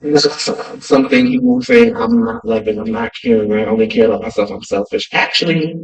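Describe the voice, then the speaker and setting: Man guest, gravelly, emphatic, repeats himself for effect, lively pitch, sits away from the mic. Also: fairly quick. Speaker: podcast guest. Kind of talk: casual chat. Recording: distant from the mic.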